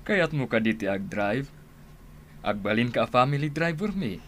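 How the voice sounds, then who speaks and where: A man acting a kindly gentleman employer in an Ilocano radio drama, measured and courteous, with an Ilocano accent. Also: medium pitch, big pitch swings. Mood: calm, genial. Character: kindly gentleman employer offering a driving job. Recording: loud vehicle-like background noise.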